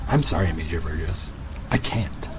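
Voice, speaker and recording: Man playing a low-voiced, weary, firm doctor. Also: animated, normal speed, a bit bright, faint music behind. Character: weary, rule-bound male army-camp doctor, firm. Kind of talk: audio drama acting.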